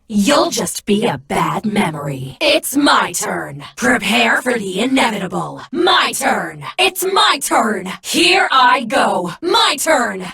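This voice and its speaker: A woman's voice: a little high, gravelly, bright, forceful tone, slightly rough, exaggerated voice. A woman voicing a fierce, icy, vengeful warrior woman in an anime card game, with theatrical, commanding delivery. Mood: cold, menacing, then battle-ready, fierce. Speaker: video game character voice.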